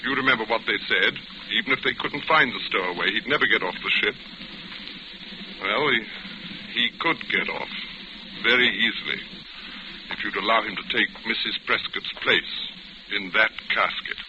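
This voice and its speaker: An actor playing a smooth, scheming fugitive in a 1940s radio drama, polite, insinuating and self-assured. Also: male voice, on the low side, normal speed, close to an everyday voice. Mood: sly, pleased. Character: smooth, scheming male fugitive, coolly persuasive. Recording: muffled, noisy vintage radio recording.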